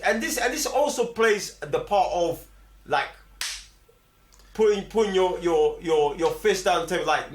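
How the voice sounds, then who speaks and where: A man with a London accent casually debating films in slang, emphatic and repetitive as he argues his point. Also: high voice. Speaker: film review host. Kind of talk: animated casual film discussion, heavy slang. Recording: echoey room.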